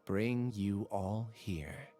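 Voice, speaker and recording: A man's voice: on the low side, drawn-out words, dark tone, faint music behind. A man playing an earnest, resigned young male researcher in a dramatic video game scene. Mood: resigned, apologetic.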